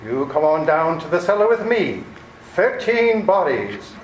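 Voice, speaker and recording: A man's voice: on the high side, very animated, unhurried. A man playing a menacing, vengeful killer in an amateur radio play. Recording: echoey room with faint noise.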